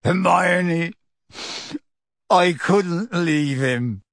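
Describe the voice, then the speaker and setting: A man voicing a huge, kindly half-giant for an audiobook, emotional and pleading, with halting, rustic speech. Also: medium pitch, sing-song, gravelly, a bit bright, slightly rough, no echo, studio quality, heavily acted. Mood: tearful. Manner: earnest, halting, rustic dialect grammar. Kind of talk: audiobook character voice.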